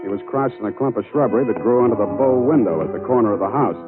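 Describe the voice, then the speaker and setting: A cool, wry private eye played by a man in a 1940s radio mystery, speaking in a dry deadpan. The recording is old and muffled, with music clearly behind him. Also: mid-range voice, very fast, close to an everyday voice. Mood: wry narrating. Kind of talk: 1940s radio detective acting, narrating and in dialogue.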